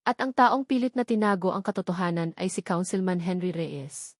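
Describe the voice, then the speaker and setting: A woman's voice: on the low side, moderate pace, no echo, clean studio sound. A woman narrating a scripted, dramatic love story.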